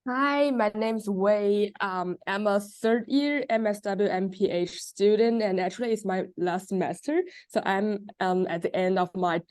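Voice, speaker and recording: A woman's voice: mid-range voice, unhurried, few pauses, a little mellow, no echo, studio quality. A woman from China introducing herself as a graduate student, casual and filling with "like". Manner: casual, says like often.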